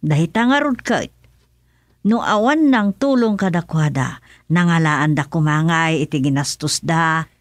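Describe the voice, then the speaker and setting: A woman's voice: deep voice, unhurried, no echo. Woman acting sensible village confidante, dry sound. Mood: reasoning. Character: sensible villager, passing on rumors yet cautioning fairness. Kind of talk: comedic radio drama acting.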